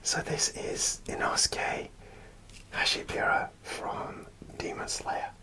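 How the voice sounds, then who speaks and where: A man whispering an ASMR show-and-tell in a soft, unvoiced whisper, hesitant and full of small fillers, partly from inside a costume helmet. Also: takes it slowly. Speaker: ASMR creator.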